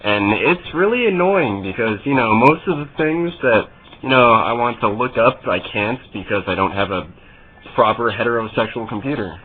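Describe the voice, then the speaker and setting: A man making a prank phone call as a customer, speaking in a halting, deadpan, mock-earnest way. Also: mid-range voice. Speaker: prank caller. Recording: muffled narrowband phone call.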